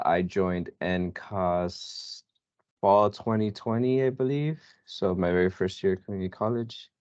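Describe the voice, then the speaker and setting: Very deep-voiced young man, quiet. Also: no room sound. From California. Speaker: engineering intern. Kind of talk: self-introduction on a panel. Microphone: video call. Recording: dry, dull video call audio.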